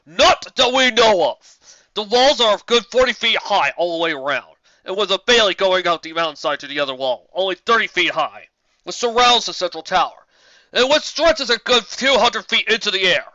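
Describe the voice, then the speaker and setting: A booming griffin commander from a fantasy audio story. The voice is raised high and bright, with hearty, posh officer exclamations and jolly confidence. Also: male voice, lively intonation, normal speed, dry recording, muffled recording. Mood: emphatic, reporting.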